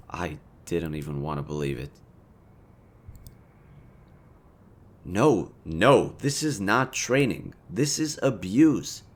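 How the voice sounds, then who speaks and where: Man playing a low-voiced, teasing villain with a soft spot. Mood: concerned, indignant. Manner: teasing, conversational, swinging from playful to earnest. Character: smug, teasing yet caring male supervillain, city-wide rival. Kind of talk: intimate audio roleplay addressed to the listener.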